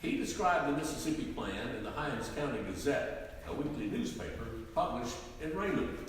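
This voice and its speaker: A man narrating history from a script in a live dramatic reading, deliberate and theatrically emphatic. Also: fairly high pitch, big pitch swings. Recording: echoey hall, distant mic, faint noise.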